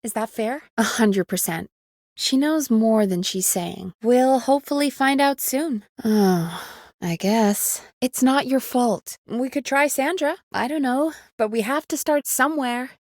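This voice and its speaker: A woman playing an earnest, wry radio show producer in a video game, speaking in an expressive, conversational way that is supportive but easily exasperated. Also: high voice, a little slow, dry recording, clean studio sound. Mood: regretful, dejected. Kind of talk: naturalistic video game dialogue.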